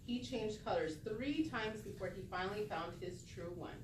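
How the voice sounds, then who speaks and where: A woman's voice: medium pitch, moderate pace. Female teacher, read-aloud with questions, heard from across an echoey room. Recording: echoey room, distant mic, noisy background.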